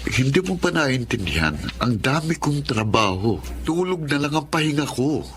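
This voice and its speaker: An actor playing a cold, irritable, tired husband in a Tagalog radio drama. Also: male voice, medium pitch, sing-song, normal speed, fairly bright tone, a little hiss or hum. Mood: irritated, weary.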